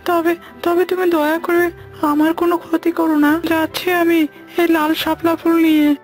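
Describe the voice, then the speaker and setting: A woman's voice: very high voice, flat delivery, moderate pace, soft background music. A woman voicing a timid, dutiful palace spy in a cartoon story, nervous and obliging. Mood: frightened. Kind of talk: dramatic cartoon story acting.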